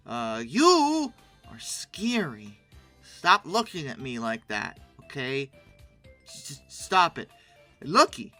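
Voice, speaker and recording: A commentator giving casual, humorous commentary in a rambling, animated, mock-indignant way, with conversational asides. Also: mid-range voice. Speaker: host reviewing old dinosaur illustrations.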